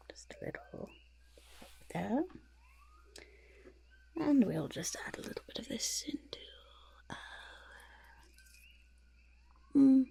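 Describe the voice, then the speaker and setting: A woman voicing a fussing, delighted goblin potion-maker in a soft-spoken ASMR roleplay, muttering and repeating words in a creaky, breathy half-whisper. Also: mid-range voice, full character voice. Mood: doting, encouraging.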